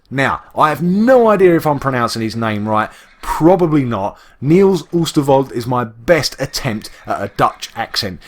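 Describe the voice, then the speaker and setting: A man with an East London accent, chatting casually and rambling with lots of restarts while he plays a game. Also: mid-range voice. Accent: East London English. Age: adult, works full time. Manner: rambling, chatty, with frequent restarts and self-interruptions. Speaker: Minecraft YouTuber. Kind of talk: casual gameplay commentary.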